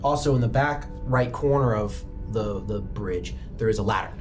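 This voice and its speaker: A man running a tabletop roleplaying game, narrating scenes casually with fillers and bursts of laughing excitement. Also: lowish voice, normal speed. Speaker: game master. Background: music.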